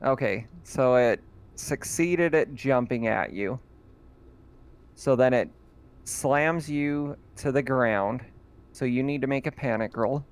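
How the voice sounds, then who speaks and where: A man running a tabletop roleplaying game as game master, explaining rules in a relaxed way with fillers. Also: medium pitch, takes it slowly, smooth.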